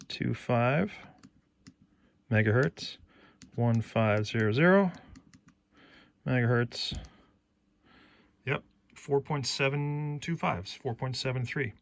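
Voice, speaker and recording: A man's voice: medium pitch, slow, pauses often, dry recording. A man giving a hands-on technical demonstration, thinking aloud methodically as he works through each step. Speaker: electronics hobbyist demonstrator. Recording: steady background noise.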